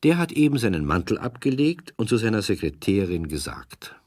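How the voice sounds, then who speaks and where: A man with a husky voice narrating a scripted children's radio play as a storyteller. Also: on the low side, big pitch swings, fairly quick, darkish tone, dry recording, studio quality.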